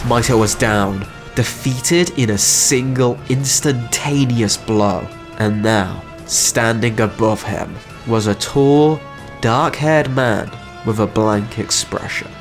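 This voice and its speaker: Guy narrator reading his scripted story stuff, normal pitch, bit of music underneath. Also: slow. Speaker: narrator and channel creator.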